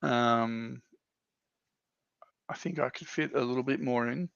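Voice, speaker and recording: A man giving his opinions in casual, halting chat as a panelist on a hobby livestream, often rephrasing as he goes. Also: mid-range voice, steady tone, vocal fry, a bit bright, smooth, no echo, dull audio. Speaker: livestream panelist on a tabletop wargaming show.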